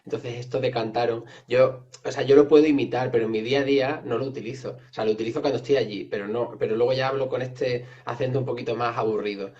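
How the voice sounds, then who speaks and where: Male guest from Extremadura, mid-pitched, thoughtful and explanatory, with a slightly dull, muffled recording. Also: fairly even intonation, quick pace, few pauses, darkish tone. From Extremadura, Spain. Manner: reflective, explanatory, hesitant. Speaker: writer and translator, guest. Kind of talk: casual conversation.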